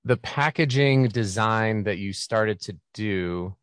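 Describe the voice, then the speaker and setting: A man hosting a podcast interview, chatty and quick to laugh, often restarting his phrases. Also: on the low side, a bit bright, dry recording, studio quality. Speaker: podcast host. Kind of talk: casual interview.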